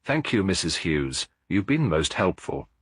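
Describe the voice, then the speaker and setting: A man voicing a gentle but firm elderly lady detective in a storybook mystery, polite and probing. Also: deep voice, big pitch swings, a little slow, breathy edge, no room sound, clean studio sound, exaggerated voice.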